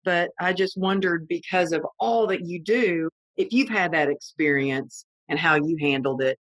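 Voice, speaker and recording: Lower-voiced Texan woman host. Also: normal speed, fairly bright tone, no echo, low-quality recording. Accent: Texas. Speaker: podcast host. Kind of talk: interview conversation.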